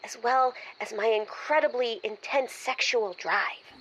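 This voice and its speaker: A woman playing an earnest, highly sexed woman seeking a smarter lover in a comic audio drama. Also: a little slow, a bit bright, slight background noise, low-quality recording. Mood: earnest, resolute.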